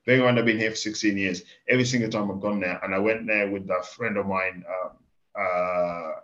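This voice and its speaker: Low-voiced man with an English accent, Kenyan-born. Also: brisk. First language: Swahili. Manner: candid, animated storytelling. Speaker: farmer guest. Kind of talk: casual interview chat. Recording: dull lo-fi podcast recording.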